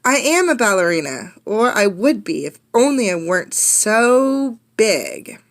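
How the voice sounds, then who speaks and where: A woman voicing a big, gentle female dinosaur who dreams of ballet, earnest and heartfelt, in a storybook. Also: mid-range voice, sing-song, unhurried, pitched above the performer's own voice. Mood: joyful, wistful.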